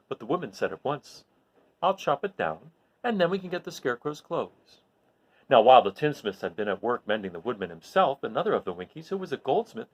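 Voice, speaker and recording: A man reading a children's story aloud expressively, in an animated way that addresses his listeners. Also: a little high, breathy edge. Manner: animated, recapping with questions to listeners.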